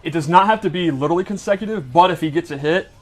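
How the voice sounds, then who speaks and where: A man with a slightly high voice walks through fighting-game mechanics in a casual style. He is enthusiastic and technical, explaining things as he goes. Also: racing. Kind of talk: casual gameplay breakdown.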